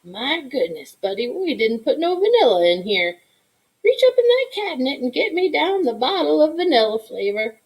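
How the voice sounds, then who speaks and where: A woman voicing a kindly, patient grandmother in a storybook, folksy and gently coaxing. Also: high-pitched, sing-song, normal speed, pitched above the performer's own voice, rough recording. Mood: folksy, instructive.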